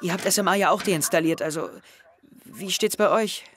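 A man's voice: sits high, very noisy, close to an everyday voice. A young male actor playing a worried, defensive teenage schoolboy in an audio drama, speaking hesitantly. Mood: cautious. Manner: hesitant, halting. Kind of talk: scripted audio drama dialogue. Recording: music under the voice. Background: background music.

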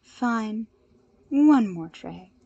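A woman voicing a composed, polite young woman hero with a measured, gently dry manner in a story reading. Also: on the high side, expressive, vocal fry, dry recording, lo-fi sound, exaggerated voice. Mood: reluctant.

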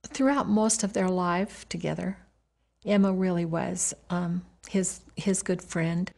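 A woman's voice: fairly low pitch, steady tone, unhurried, vocal fry, a little mellow. An older woman giving interview commentary on history for a documentary. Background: music.

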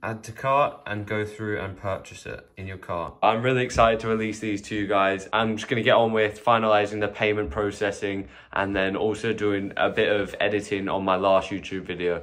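A man's voice: a little fast, hardly any pauses. A seventeen-year-old young man, chatting casually to a handheld phone camera about his day. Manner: relaxed, chatty, low-key. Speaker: vlogger, youth footballer. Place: gym, kitchen, bedroom and car. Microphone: phone held at arm's length.

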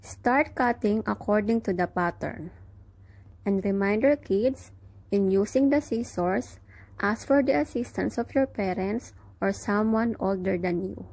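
A young woman teaching children in church, giving gentle, careful craft instructions. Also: medium pitch, a little slow, low-quality recording. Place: small room at home, indoors.

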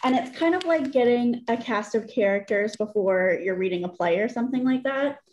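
A woman in her thirties with a fairly high voice talks through a book as a co-host. Her delivery is conversational and loose, peppered with "like," as on an indoor video call. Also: a little fast, darkish tone, muffled recording, slight background noise. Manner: conversational, frequent "like". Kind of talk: casual book discussion. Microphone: video call computer mic.

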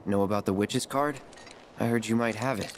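A man's voice: lowish voice, normal speed, background noise, natural voice. An actor playing a guarded, blunt young swordsman hero in a video game, speaking in curt phrases. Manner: curt, questioning. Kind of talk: video game cutscene acting.

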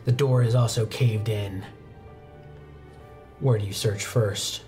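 A young man narrating a horror tabletop roleplaying game as game master, with deliberate, suspenseful descriptions and dramatic pauses. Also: on the low side, fairly even intonation. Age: young adult. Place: indoor studio game set at a desk. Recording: music playing behind the voice. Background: background music.

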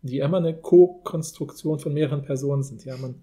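Man with a very mellow, higher-pitched voice. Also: brisk. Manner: thinking aloud in long, winding sentences. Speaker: podcast host. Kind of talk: casual analytical podcast discussion.

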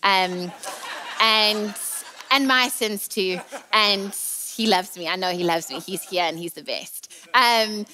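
Bright-voiced South African woman preaching. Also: medium pitch, slow pace. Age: 30s. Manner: chatty, playful, teasing. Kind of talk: sermon. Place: large church auditorium stage.

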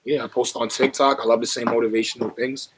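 A young man with a husky voice talking casually in street slang and giving motivational advice over a video call. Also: medium pitch, level tone, fast, low-quality recording. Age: young adult. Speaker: stranger on a video chat, motivational poster.

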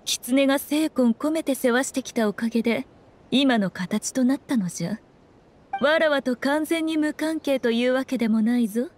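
A woman voicing a sly, regal fox shrine maiden in an anime game, with measured, knowing, old-fashioned diction. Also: on the high side, darkish tone, slight background noise, close to an everyday voice. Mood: playful, teasing. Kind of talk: Japanese anime game voice acting.

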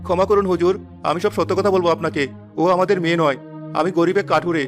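A poor woodcutter in a cartoon, humble and pleading, his voice high and rushed, as a man plays him. Faint music underneath. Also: fairly even intonation, natural voice.

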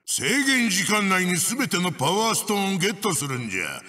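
A man's voice: sits high, sing-song, a little fast, bright, forceful tone, long reverb tail, exaggerated voice. A man playing an evil wooden mask spirit in a video game, commanding and pompous as he barks out racing instructions. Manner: commanding, archaic pompous phrasing. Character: evil wooden witch-doctor mask spirit, bossy and menacing.

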